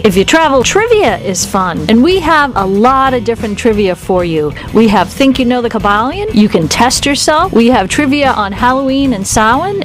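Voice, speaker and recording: A woman from Boston with a Boston accent, reading an upbeat promo as a podcast co-host. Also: high voice. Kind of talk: read promo spot. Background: music.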